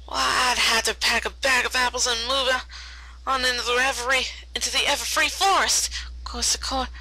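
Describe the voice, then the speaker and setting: A woman's voice: a little high, steady tone, normal speed, sharp tone, no room sound, voice pushed up, heavily acted, rough recording. A woman voicing a flustered, folksy female farm pony, with stammering country phrasing, in a story reading. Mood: anxious muttering.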